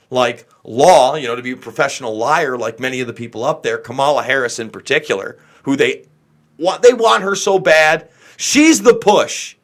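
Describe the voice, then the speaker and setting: A man's voice: on the high side, a bit bright. An American man giving casual, unscripted political commentary as a show host, in a blunt, exasperated, opinionated way.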